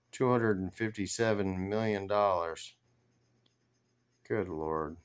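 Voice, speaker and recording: A man's voice: deep voice, smooth. A man giving informal, rambling stock commentary, thinking aloud as he reads through figures on his screen. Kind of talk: informal screen-share commentary on company finances.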